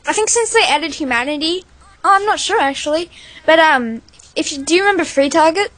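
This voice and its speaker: High-voiced woman chatting over a game. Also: animated, a little fast, a bit bright, no echo, poor audio. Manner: chatty, hesitant with many fillers. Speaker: gamer. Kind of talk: casual voice chat while gaming.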